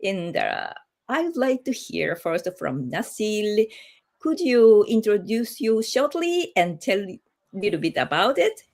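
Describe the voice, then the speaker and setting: A woman with a Japanese accent hosting a casual livestream, speaking haltingly as she searches for words in a non-native language. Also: medium pitch, sing-song, a little mellow. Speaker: host of a group livestream.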